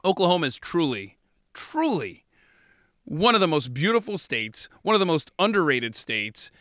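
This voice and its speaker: Bright, upbeat man interviewing. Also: on the high side, very animated, moderate pace, no echo, clean studio sound. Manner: upbeat, laughs often, repeats words. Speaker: podcast interviewer. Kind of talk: casual conversational interview.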